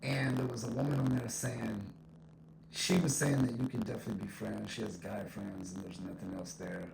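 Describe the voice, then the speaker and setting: A man's voice: on the low side, little pitch movement, moderate pace, creaky. A man giving a casual, conversational opinion monologue, repeating his points for emphasis, with an echo effect on his voice. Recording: echoing voice with faint noise.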